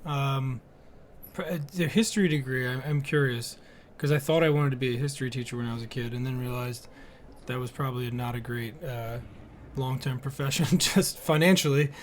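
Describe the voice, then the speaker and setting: A man hosting a podcast, asking questions in a relaxed, friendly way with fillers. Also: medium pitch, normal speed, slight background noise. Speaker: podcast host. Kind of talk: podcast interview.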